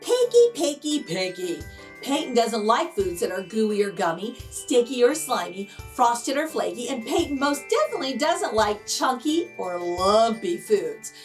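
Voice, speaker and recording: A woman's voice: fairly high pitch, sing-song, unhurried, faint music behind. A woman host reading a children's storybook aloud expressively, drawing out key words for emphasis. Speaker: children's picture book read-aloud host.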